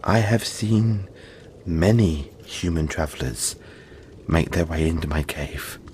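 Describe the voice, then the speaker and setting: A man playing a huge, gentle, cuddly yeti boy in an intimate audio roleplay, talking politely and haltingly to reassure the listener. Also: extremely low pitch, slight background noise. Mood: gentle, explanatory. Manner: soft-spoken reassurance, halting, polite and chatty.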